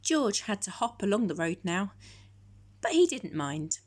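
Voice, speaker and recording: A woman storyteller narrating a children's picture book in a measured, expressive way. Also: mid-range voice.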